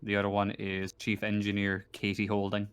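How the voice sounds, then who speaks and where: A man running a tabletop roleplaying game as game master, thinking aloud in a halting way as he improvises. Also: on the low side, monotone, fairly bright tone, smooth, no echo. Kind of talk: narrating and refereeing a tabletop game.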